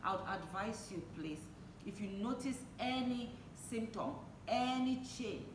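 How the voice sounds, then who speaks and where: A woman's voice: on the high side, animated, measured pace. A woman speaking as an interview guest, sharing personal testimony in conversation. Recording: echoey room, distant mic, noisy background.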